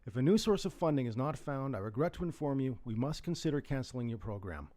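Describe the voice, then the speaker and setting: An actor playing a dry, awkward, downbeat radio staffer, reading and talking in a reluctant, subdued way in a drama. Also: male voice, mid-range voice, expressive, fast, warm tone, natural voice. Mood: subdued, formal.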